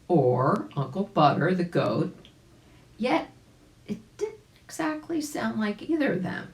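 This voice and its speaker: A woman with a low voice reads a children's story at a slow, careful pace. Her intonation rises and falls freely, and the room adds a noticeable echo. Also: darkish tone. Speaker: volunteer storybook reader. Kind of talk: animated children's story narration.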